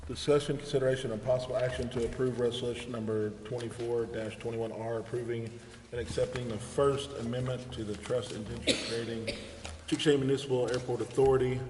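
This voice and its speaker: A man chairing a city council meeting as mayor, speaking in formal procedure and reading out agenda items. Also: mid-range voice. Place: indoor council chambers. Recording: echoey hall, distant mic, clear background noise.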